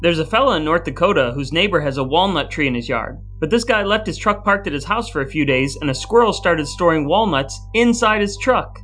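A man's voice: fairly high pitch, expressive, brisk, hardly any pauses, fairly bright tone, soft background music. A man hosting a podcast, moving between scripted introductions and casual banter. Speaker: podcast host.

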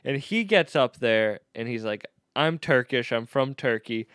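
A man's voice: mid-range voice, unhurried, smooth, dry recording, clean studio sound. A man, a stand-up comedian, telling a story in casual chat and acting out the people in it. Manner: animated storyteller, quotes people in raised voices.

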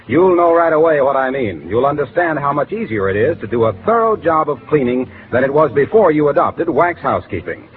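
A man's voice: on the high side, a little hiss or hum. A man announcing in polished, formal 1940s radio style, reading scripted introductions and sponsor copy. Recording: thin, phone-like 1940s radio recording.

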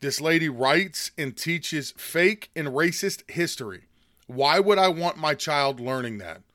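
A man from the United States, hoarse from a chest cold, giving a scornful, indignant opinion monologue as host. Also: fairly high pitch, lively intonation, unhurried, a bit bright, no echo, studio quality. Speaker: political commentary host.

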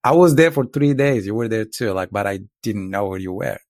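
A man's voice: fairly low pitch, expressive, brisk, a little mellow, no echo, studio quality. A man from Morocco casually telling a couple's story, rambling and laid-back with lots of filler words.

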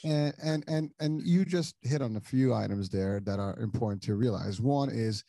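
A man's voice: mid-range voice, moderate pace, gravelly, mellow, no echo, clean studio sound. A middle-aged man from Morocco hosting a casual interview, talking in long rambling sentences full of restarts and repeated words. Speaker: podcast host.

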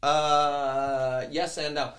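A man who is a comedian guest, telling stories in casual chat in an animated, profane way. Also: a little high, extremely slow, smooth.

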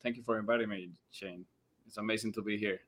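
A man's voice: on the low side, fairly even intonation, quick pace, fairly bright tone. Colombian-accented man telling stories casually. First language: Spanish. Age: 30s. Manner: loose, non-native English phrasing. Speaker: podcast guest, former soccer player. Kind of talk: casual personal storytelling.

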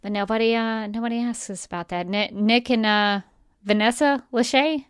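A woman's voice: fairly high pitch, moderate pace, clean tone, no echo, clean studio sound. A woman co-hosting a podcast in a casual, chatty and emphatic way, often acting out imagined remarks. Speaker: podcast co-host. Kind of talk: casual chat.

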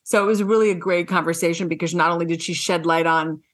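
A woman's voice: lowish voice, fairly even intonation, fast talker. A woman hosting a podcast interview, effusive and affirming in how she talks. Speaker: podcast host. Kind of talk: scripted guest introduction, then casual interview chat.

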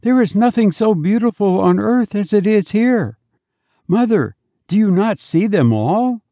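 A man voicing a gentle young boy's spirit who speaks tenderly and pleads with his mother, as part of a storybook reading. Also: high voice, expressive, measured pace, no echo, clean studio sound, voice pushed up. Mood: tender pleading. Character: gentle young boy spirit, sweet and loving.

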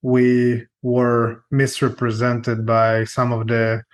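A man's voice: mid-range voice, completely flat delivery, slow pace. A man with an Israeli accent telling his story conversationally as a podcast guest. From Israel, born in Ukraine. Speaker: podcast guest, real estate investor.

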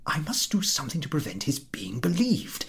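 A man voicing Ahiniv, a nervous middle-aged host who frets about gossip, in breathy anxious whispers that squeak up high when upset. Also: wildly swooping pitch, fairly quick, a bit bright, exaggerated voice. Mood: flustered.

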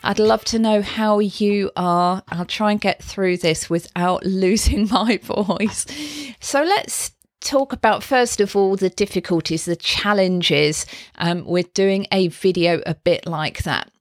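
A woman, slightly hoarse, hosting a chatty live video tutorial from her desk on a desk mic. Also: mid-range voice, slow, no room sound. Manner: chatty, explains step by step. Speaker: host. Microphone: desk microphone.

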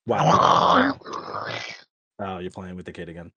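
A man's voice: medium pitch, normal speed, lo-fi sound. A man acting as game master, explaining options and narrating a tabletop game in informal, profane talk.

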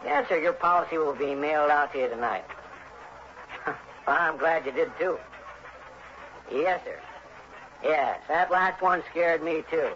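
A man's voice: on the high side, unhurried, pauses often, a bit bright. An actor playing an eager, glib young insurance salesman in a 1960s radio drama, on a muffled, noisy old recording. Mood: chipper, obliging. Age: young adult.

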